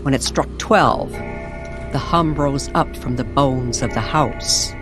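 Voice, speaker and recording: Slow, deliberate woman storyteller over music. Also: extremely low pitch. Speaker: co-narrator of an audio story series. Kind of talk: dramatic scripted story narration in old-time radio manner. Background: music under the voice.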